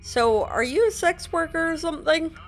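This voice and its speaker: A woman's voice: very high voice, animated, moderate pace, faint music behind. A woman playfully voicing her own character while playing a game, teasing and giggly. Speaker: gameplay narrator voicing the player character. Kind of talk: casual role-play while playing a game.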